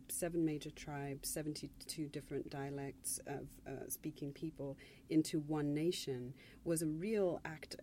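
A woman from Zambia, a novelist speaking on a panel in a reflective, measured way, often restarting mid-phrase. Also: low-pitched, warm tone, a little hiss or hum. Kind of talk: panel discussion.

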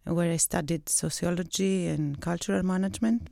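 Gravelly-voiced Greek woman, thoughtful. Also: fairly low pitch, steady tone, normal speed, warm tone, no room sound, clean studio sound. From Greece. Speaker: guest, research associate and PhD candidate. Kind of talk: reflective interview answers. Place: recording studio.

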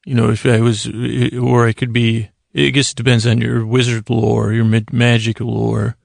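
Male American sleep-podcast host, very gravelly fry, monotone delivery, halting, rambling, full of self-interruptions. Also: mid-range voice, no echo, studio quality. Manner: halting, meandering, self-interrupting with frequent tangents and pauses. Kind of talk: rambling, improvised bedtime monologue.

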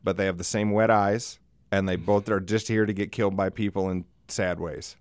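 A man's voice: deep voice, fairly even intonation, normal speed, clear, resonant tone, no echo. A middle-aged man hosting a podcast, chatting casually and comedically with a teasing, profane streak. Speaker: podcast host.

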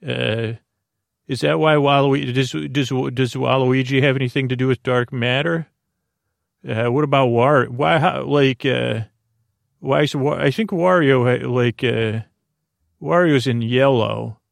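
A man's voice: medium pitch, a little slow, vocal fry, no room sound, clean studio sound. A man hosting a bedtime podcast, talking in a soothing, lulling way and wandering off on tangents with many filler sounds. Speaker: podcast host. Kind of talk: rambling bedtime podcast intro.

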